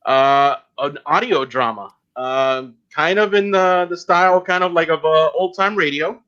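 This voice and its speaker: A man hosting a podcast, interviewing guests casually in a halting delivery with frequent pauses. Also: on the high side, bright, forceful tone, clear, resonant tone, lo-fi sound. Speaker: podcast host.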